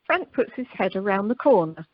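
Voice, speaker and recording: A woman's voice: medium pitch, very animated, fairly quick, no room sound. A woman reading scripted stage directions as narrator of a radio play. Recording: dull, dry telephone conference line.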